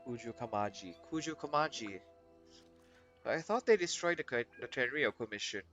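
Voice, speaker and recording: A man's voice: medium pitch, expressive, moderate pace, a bit bright. A man chatting casually as he livestreams a video game, rambling and often rephrasing himself. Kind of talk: casual live stream chat. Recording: muffled lo-fi mic, faint music behind.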